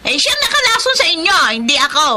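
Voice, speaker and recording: A brazen, sly middle-aged Filipina eatery owner for radio drama. Her voice is high and very bright, swinging widely as she talks. She is brusque and full of exclamations. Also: sing-song, fast talker, a little hiss or hum, exaggerated voice. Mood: defensive, indignant. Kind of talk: Tagalog radio drama acting.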